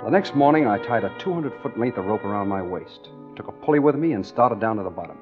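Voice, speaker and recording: Dull old radio recording. Male actor for hard-boiled American schemer, lowish voice, confiding first-person storytelling, 1940s crime drama. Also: expressive, fast talker, over music, natural voice. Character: tough scheming American engineer narrating his own swindle.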